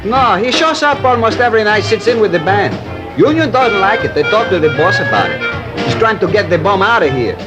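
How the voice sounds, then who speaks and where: An actor playing an eager, gossipy nightclub bartender in a 1950s radio crime drama, with a band playing behind. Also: male voice, high-pitched, very animated, brisk. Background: nightclub band music.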